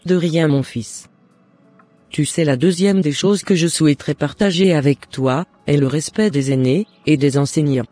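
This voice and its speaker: A man's voice: a little high, normal speed, warm tone, no echo, soft background music, close to an everyday voice. A man playing a loving, devout mother in a cartoon, giving earnest and encouraging advice in formal words. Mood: gently advising. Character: devout, loving mother advising her son. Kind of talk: scripted cartoon dialogue.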